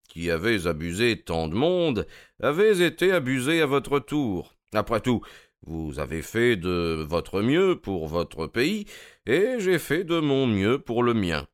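Un homme qui joue, pour un livre audio, un détective anglais d'âge mûr, suave, courtois et moqueur. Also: male voice, fairly low pitch, big pitch swings, no echo, clean studio sound, voice pushed up. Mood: politely triumphant. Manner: amused, courteous, drawn-out mocking delivery. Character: suave, wry older English detective, gloating politely.

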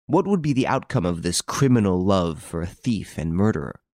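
A man voicing an anxious, compassionate woman in an audiobook story, softly and earnestly. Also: low voice, big pitch swings, normal speed, dry recording, studio quality. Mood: grave.

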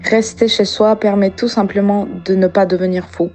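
Soft mellow lady reading her diary, measured, music underneath. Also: medium pitch, steady tone. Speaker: diarist.

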